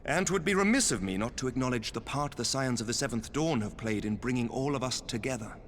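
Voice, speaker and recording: An actor playing a stern noble leader in a video game, speaking in an archaic, courtly, oratorical way. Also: male voice, mid-range voice, animated, brisk, a bit bright, lightly acted. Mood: gracious. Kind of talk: video game cutscene acting. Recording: long hall reverb, faint background noise.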